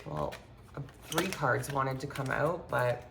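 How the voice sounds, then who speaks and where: A woman with a congested, slightly hoarse voice giving a rambling, earnest spiritual talk with many fillers while doing a card reading at her home altar. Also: extremely low pitch, slow pace, heavy background noise. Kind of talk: casual spiritual talk to viewers. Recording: echoey room, faint ambience.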